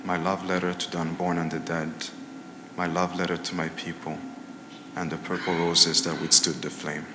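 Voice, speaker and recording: Man, very deep, flat solemn poetry reading, booming hall echo, audible room noise. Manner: slow and solemn, trailing off softly at line ends. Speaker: speaker reading a poem. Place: large commemoration hall. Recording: echoey hall with background noise.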